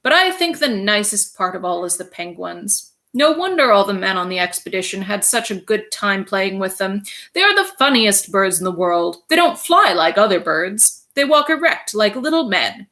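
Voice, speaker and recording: A woman reading aloud, voicing a shy, dreamy, bookish middle-aged man in an eager, gentle way. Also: mid-range voice, sing-song, moderate pace, few pauses.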